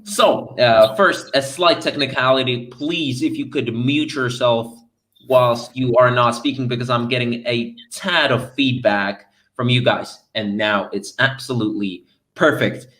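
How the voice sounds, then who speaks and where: A man's voice: mid-range voice, measured pace, fairly bright tone. A man moderating an online panel over a video call, upbeat and chatty with playful asides.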